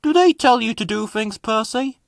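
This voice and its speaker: A man's voice: extremely high pitch, expressive, normal speed, gravelly, dry recording, studio quality, voice pushed up, full character voice. A man voicing a polite, confident and proud male railway engine in a children's story. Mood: firm, helpful. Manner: courteous, assured.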